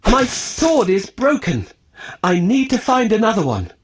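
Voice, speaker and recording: A man's voice: high-pitched, very animated, measured pace. A man voicing an earnest, determined young prince in a children's storybook, with careful, declarative enunciation. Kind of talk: scripted children's storybook acting.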